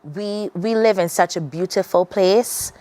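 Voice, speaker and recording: A woman's voice: mid-range voice, measured pace, dark tone, no echo, rough recording. A woman from Barbados with a Barbadian accent, talking earnestly as a guest on a morning TV interview, often restarting her sentences. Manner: earnest, reflective, many restarts.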